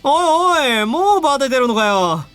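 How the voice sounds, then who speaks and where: Bright, high and very animated, this is a cocky young anime villain in a visual novel battle. He taunts with boisterous glee and theatrical swings, over game music. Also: fast talker, exaggerated voice. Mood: gleeful mocking.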